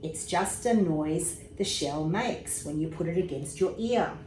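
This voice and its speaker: A woman voicing a calm father in a children's storybook, explaining things in a gentle, matter-of-fact way. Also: fairly low pitch, a little slow, natural voice. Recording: roomy echo with faint background noise.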